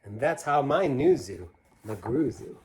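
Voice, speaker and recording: A man voicing an eager young boy from a children's picture book, boasting excitedly in sing-song rhyme. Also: mid-range voice, takes it slowly. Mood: excited, grand. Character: eager young boy dreaming up his own zoo.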